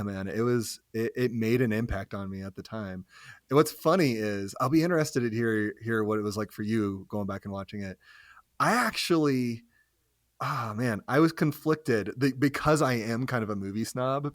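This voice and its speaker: Man in his thirties, podcast guest, lowish voice, dry close sound, wry jokes, self-deprecating. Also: dry recording. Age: 30s. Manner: wry, joking, says "yeah" often, self-deprecating. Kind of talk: casual conversational podcast chat.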